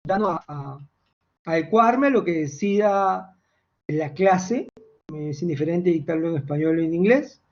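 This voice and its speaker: A man's voice: a little high, lively intonation, unhurried, darkish tone. A man teaching a finance class online, talking hesitantly with many fillers and restarts. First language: Spanish. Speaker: finance instructor. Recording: dull lo-fi call audio.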